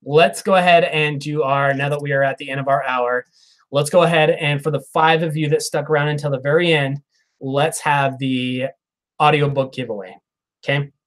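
Chatty upbeat guy in his 30s, bit of a higher voice, lapel mic in a small room. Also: fairly even intonation, moderate pace, fairly bright tone. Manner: upbeat, chatty, laughs often. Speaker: live stream host. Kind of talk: casual live Q&A, reading viewer comments. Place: small room, plain wall behind. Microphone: clip-on lapel mic.

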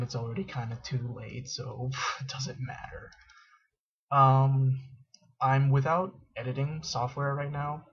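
A man's voice: mid-range voice, breathy edge. Rambling young man on muffled webcam. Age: young adult. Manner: rambling, self-deprecating, filler-heavy. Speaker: vlogger. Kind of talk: casual straight-to-camera vlog. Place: dim dorm room. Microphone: built-in webcam mic.

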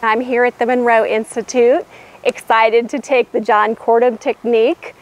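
A woman's voice: on the high side, level tone, moderate pace, slight background noise, rough recording. A woman in her forties, enthusiastic and chatty, speaking outdoors on a lapel mic. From Northern Indiana. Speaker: hotel interior designer. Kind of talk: testimonial interview. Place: outdoors by a log cabin.

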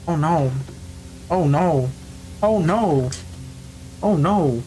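A man's voice: fairly high pitch, expressive, extremely slow, dark tone, soft background music. A man giving a casual, slangy live reaction to a scary video, pleading with the screen in short repeated phrases. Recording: noisy with mains hum.

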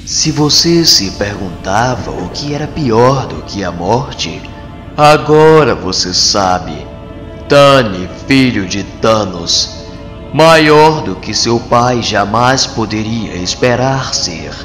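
Sinister comic-book alien manipulator, male actor, slow and swooping delivery, theatrical sermonizing. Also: lowish voice, animated. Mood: grandiose. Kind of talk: dramatic motion comic dubbing. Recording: long hall reverb, loud music underneath.

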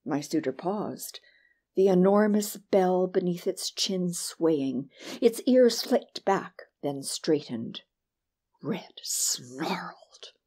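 A woman reading a first-person short story as an audiobook narrator, with expressive, dramatic delivery. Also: fairly low pitch, slow pace.

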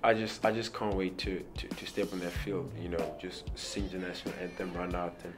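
A young South African man with a very low voice gives a relaxed, rambling interview answer, stumbling over repeated words and leaning on "you know". He sits in front of a press backdrop. Clear music plays under his voice throughout. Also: a little fast. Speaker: rugby player.

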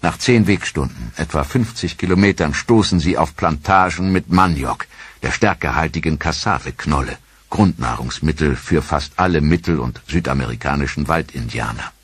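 A man narrating a scripted historical documentary in a measured, dramatic storytelling manner. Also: extremely low pitch, husky, no echo.

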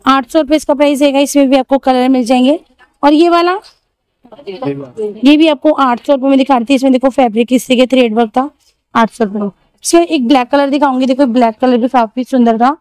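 Brief: high, dry-sounding female shopping vlogger, chatty, repeats prices, outdoor market. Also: fairly quick, a little mellow, no room sound, rough recording. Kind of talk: market walkthrough vlog.

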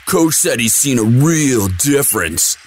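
A man's voice: medium pitch, animated, a little slow, slight background noise, close to an everyday voice. A young man playing a sweet, dim, eager athlete boyfriend in a casual, intimate audio roleplay, with a slangy, drawling delivery. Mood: cheerful, chatty.

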